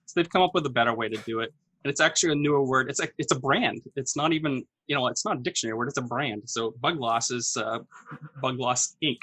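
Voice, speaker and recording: A middle-aged man improvising a casual explanation on a comedy game, halting and repeating himself. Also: medium pitch, bright, forceful tone, dry recording, poor audio. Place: home office, video call.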